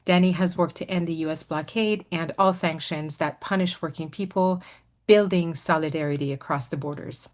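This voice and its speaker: A woman moderating a panel, closing her welcome and introducing the first speaker in a measured, earnest way. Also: fairly low pitch.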